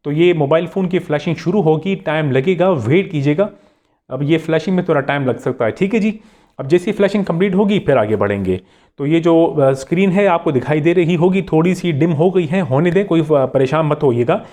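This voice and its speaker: Guy with a kinda higher voice doing a step by step phone tutorial, polite, repeats the instructions. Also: a little mellow. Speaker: tech tutorial host. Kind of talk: step-by-step screen tutorial narration.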